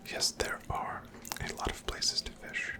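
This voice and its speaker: Whispering ASMR gamer, fully unvoiced and breathy. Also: over music. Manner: unhurried, musing aloud. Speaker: ASMR game streamer. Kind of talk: whispered ASMR gameplay commentary.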